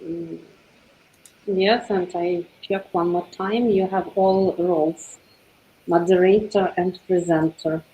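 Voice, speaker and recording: A woman moderator speaks slowly in short confirmations on a video call. Her tone is mellow and soft-edged, with a faint hiss under the line. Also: lowish voice, steady tone, rough recording. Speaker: panel moderator. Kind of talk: brief technical check-ins.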